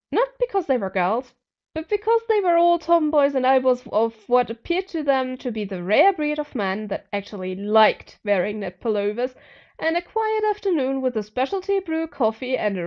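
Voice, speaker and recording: A woman's voice: on the high side, gravelly, lo-fi sound. A woman narrating first-person fiction expressively as an amateur audiobook reader.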